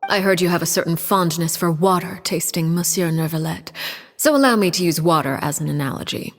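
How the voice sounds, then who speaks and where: An actress playing a poised, cold female diplomat and matriarch in a video game, speaking calmly and precisely. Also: fairly low pitch, a little fast, in a large hall. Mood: measured. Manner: calm, precise, quietly commanding.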